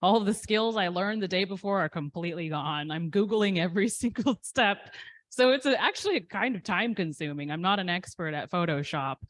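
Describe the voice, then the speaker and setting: A woman's voice: on the low side, fairly quick, dry recording. A woman in her thirties, a poet answering audience questions in a candid, self-deprecating way with frequent ums. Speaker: poet guest. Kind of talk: casual interview answers.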